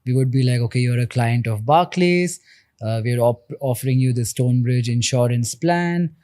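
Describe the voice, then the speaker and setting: A man in his thirties with an Indian accent, a podcast guest explaining things in a measured way with frequent pauses. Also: mid-range voice, darkish tone, no room sound, clean studio sound. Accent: Indian English. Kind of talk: podcast interview.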